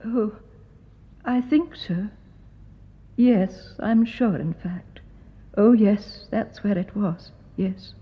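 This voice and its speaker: An actress playing a dignified, distressed widow in a radio drama, hushed and hesitant with a refined English accent. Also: female voice, medium pitch, drawn-out words, pauses often, husky, highs cut off. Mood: shaken, grieving. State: distressed, near tears.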